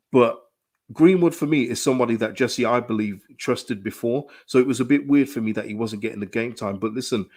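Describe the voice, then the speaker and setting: A man, hoarse from a dry throat, giving emphatic live-stream commentary on his football club, full of restarts and repetition. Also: medium pitch. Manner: animated, emphatic, repeats phrases, frequent restarts. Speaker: football fan channel host. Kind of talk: live-stream fan commentary, reading chat.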